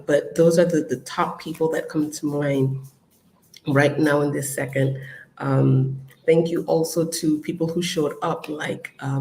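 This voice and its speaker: An adult host giving a casual live-stream update to followers, chatty and upbeat, with frequent ums and shout-outs. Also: medium pitch, slight background noise, poor audio. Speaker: business community host.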